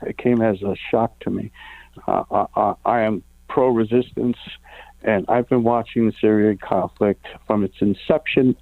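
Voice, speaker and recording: A man's voice: mid-range voice, dry recording. A man calling in to a radio talk show, hesitant, restarting his sentences often. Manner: hesitant, many restarts and fillers. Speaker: caller. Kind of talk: call-in question on talk radio. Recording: tinny phone line.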